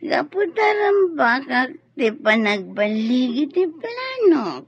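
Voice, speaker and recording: A sly, seductive mistress in a radio drama. She drawls slowly and teasingly, her voice swooping wildly up and down, on a muffled, noisy recording. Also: sits high, sing-song, no echo, heavily acted. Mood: teasing, secretive.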